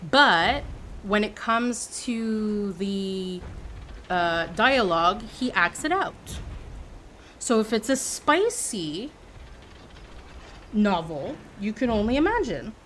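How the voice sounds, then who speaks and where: A woman's voice: medium pitch, takes it slowly, pauses often, slight background noise. Chatty, playful woman streamer. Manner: chatty and expressive, teasing and playful. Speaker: livestreamer. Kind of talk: casual chat with viewers.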